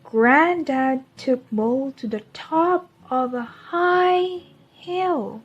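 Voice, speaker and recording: A woman reading a children's storybook aloud as a teacher, in a deliberate storytelling manner. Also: high-pitched, animated, highs cut off, faint music behind.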